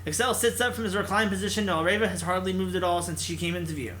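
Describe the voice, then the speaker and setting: A man's voice: high-pitched, steady tone, fast, fairly bright tone, slight background noise. A man hosting a gaming channel, reading a visual novel aloud and adding his own commentary.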